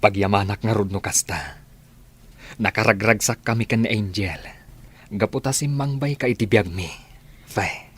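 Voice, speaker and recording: Man voicing a soft-spoken father, very deep, husky voice, earnest. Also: moderate pace, pauses often.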